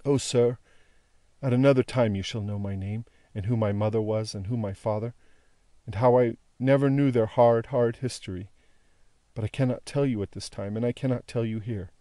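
A man voicing a gentle, devoted young daughter in an audiobook, with tender, imploring, rhythmic appeals. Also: mid-range voice, no room sound, natural voice. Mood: tender pleading.

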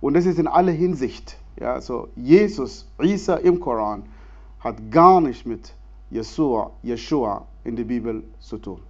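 A man from Sudan, in his forties, talks in German, pausing often and laughing easily. His voice sits in a middle register. The sound is slightly dull, recorded in a church hall. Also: medium pitch, expressive, darkish tone. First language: Arabic. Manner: earnest, many hesitations, non-native grammar, laughs easily. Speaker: guest speaker, theologian. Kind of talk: church stage interview.

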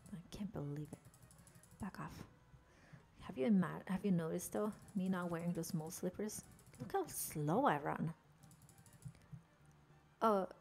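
A woman's voice: lowish voice, slight background noise. A young woman from Norway with a Norwegian accent, chatting playfully with viewers while narrating her game character. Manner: chatty, jokey, chatting with chat while playing. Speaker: game streamer.

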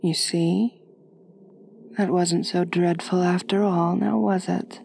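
Gentle aristocratic vampire mistress played by a woman for ASMR roleplay: soft, breathy, lowish, creaky, softly commanding. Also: little pitch movement, takes it slowly, slight background noise. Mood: soothing, reassuring. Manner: soothing, genteel, softly commanding. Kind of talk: ASMR roleplay, intimate acting.

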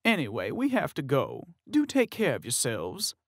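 A woman narrator voicing a composed woman who speaks calmly and a little condescendingly. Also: a bass voice, moderate pace, no room sound, clean studio sound, exaggerated voice. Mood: cool. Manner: calm, mildly condescending.

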